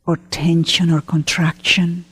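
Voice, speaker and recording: A woman leading a guided meditation as a teacher, gentle and unhurried, pausing often between short phrases in a breathy voice. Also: on the low side, flat delivery. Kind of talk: guided meditation, spoken slowly to listeners. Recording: long hall-like reverb.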